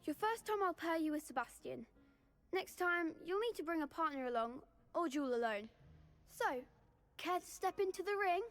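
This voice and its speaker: A brisk, proper dueling club host. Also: male voice, very high voice, lively intonation, fairly bright tone, exaggerated voice. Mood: inviting. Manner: theatrical, encouraging announcer. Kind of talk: video game dialogue.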